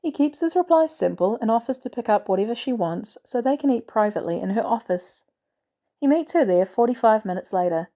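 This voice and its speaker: A woman's voice: a little high, expressive, fairly quick, dark tone. A woman reading a scripted story aloud as a narrator. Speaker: fan fiction podfic reader.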